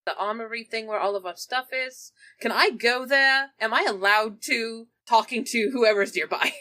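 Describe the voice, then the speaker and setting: A woman's voice: a little high, normal speed, bright, forceful tone. A woman playing a tabletop roleplaying game, casually narrating and speaking for her character.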